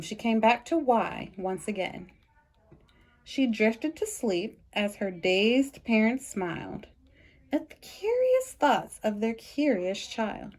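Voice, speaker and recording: Woman, fairly high voice, unhurried storytime reader, sing-song rhyming delivery. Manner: lively sing-song reading with slow emphatic pauses. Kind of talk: reading a rhyming picture book aloud.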